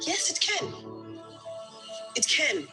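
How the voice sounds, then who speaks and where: A man from Zimbabwe speaks earnestly and from the heart in a documentary clip. His voice is quite high, and clear music plays behind him the whole time. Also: wildly swooping pitch, takes it slowly. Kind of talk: documentary testimony. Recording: speech with music playing behind.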